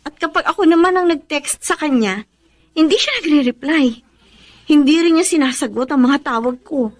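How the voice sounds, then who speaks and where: A woman's voice: high voice, lively intonation, moderate pace, dry recording. A woman playing a lovesick, dutiful sweetheart in a radio melodrama, plaintive and pleading. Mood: hurt, worried. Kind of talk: Tagalog radio melodrama acting.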